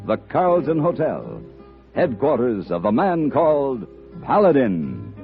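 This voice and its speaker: Slow, dramatic male radio announcer. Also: mid-range voice, huge pitch swings, gravelly. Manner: dramatic, measured. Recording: dull vintage radio broadcast over loud music.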